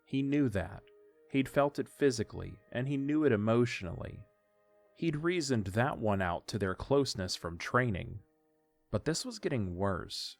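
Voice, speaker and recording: A man's voice: fairly low pitch, gravelly. A man reading scripted story narration as the narrator of an audio drama. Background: faint music.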